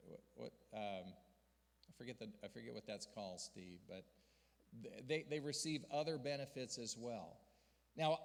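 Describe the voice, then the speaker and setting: Man preaching in a reverberant hall. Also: mid-range voice. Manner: earnest storyteller, rising to emphatic peaks. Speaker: denominational church leader speaking to a district gathering. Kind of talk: sermon-style report with slides.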